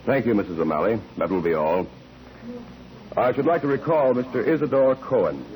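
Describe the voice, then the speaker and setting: An actor playing a methodical lawyer examining witnesses in a 1940s radio drama, formal, deliberate and insistent in his questioning. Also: mid-range voice, lively intonation, a crowd in the background, close to an everyday voice. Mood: formal, probing. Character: courtroom examiner, a probing, methodical male lawyer. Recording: noisy old 1940s radio recording.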